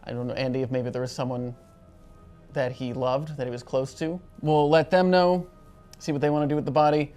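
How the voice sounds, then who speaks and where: A woman's voice: extremely low pitch, fairly even intonation, a little fast, mellow. A woman playing a ship's captain in a tabletop role-playing game, speaking decisively and matter-of-factly. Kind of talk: in-character tabletop role-play. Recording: background music.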